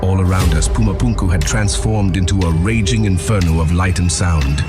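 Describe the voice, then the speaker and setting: A man's voice: low-pitched, moderate pace. A man giving grave, intense, theatrical first-person narration as the storyteller of an audio drama. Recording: loud music under the voice. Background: loud music.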